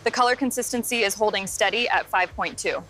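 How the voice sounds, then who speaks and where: A woman's voice: mid-range voice, brisk, lightly acted. A woman playing a diligent factory inspector reporting checks in scripted workplace dialogue, with factory noise behind her. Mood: businesslike. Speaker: factory worker.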